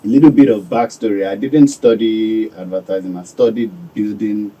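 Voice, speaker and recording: A Nigerian man giving calm, measured answers as a guest in a radio studio. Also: fairly low pitch, recorded from a distance, highs cut off. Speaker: business owner guest.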